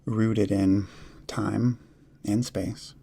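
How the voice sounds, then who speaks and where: Slow, low, husky man guiding meditation. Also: flat delivery, mellow. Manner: measured, halting delivery in short phrases with long pauses. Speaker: meditation guide. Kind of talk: guided meditation, slow instruction.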